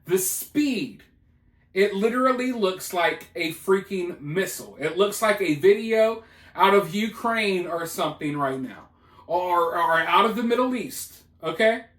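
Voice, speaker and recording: A man's voice: sits high, expressive, a little slow, a bit bright, echoey room. A man giving casual, opinionated news commentary, speaking emphatically in colloquial language and repeating himself for effect. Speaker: commentator hosting a news video.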